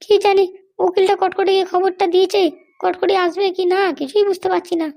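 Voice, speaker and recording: Woman voicing a squeaky, haughty cartoon lady boss. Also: little pitch movement, fairly quick, warm tone. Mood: vengeful. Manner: bossy, indignant, scolding. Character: haughty, threatening female political boss held in jail. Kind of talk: Bengali comedy cartoon dialogue.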